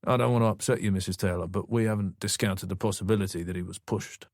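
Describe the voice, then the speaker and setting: A man's voice: low voice, fairly even intonation, quick pace, a little mellow, dry recording, studio quality. A male narrator voicing a detective who speaks in clipped, direct questions. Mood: terse.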